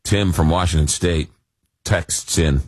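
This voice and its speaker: A man's voice: deep voice, slow pace, no echo. A man hosting a late-night call-in radio show while fighting a cold. He talks in a rambling, casual way with many fillers and dry jokes. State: fighting a cold, losing his voice.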